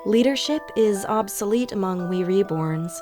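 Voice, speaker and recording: A serene, preachy female cult facilitator played by a woman in a radio drama. The delivery is beatific and formal in a medium pitch, with music clearly behind it. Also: animated, normal speed, natural voice. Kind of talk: radio play acting.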